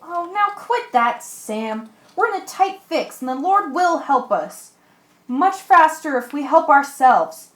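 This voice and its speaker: Woman doing brave no-nonsense ship mate for a radio play, high voice, takes it slow, roomy echo. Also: sing-song, fairly bright tone, heavily acted. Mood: brisk, resolute. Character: bold, practical ship's mate, plucky shipwreck survivor. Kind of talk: amateur radio play acting. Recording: echoey room.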